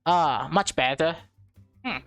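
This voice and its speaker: A woman's voice: low-pitched, slow, no room sound, faint music behind. A woman reading out a visual novel character, a bustling, complaining but affectionate mother in her forties. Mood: relieved, fond.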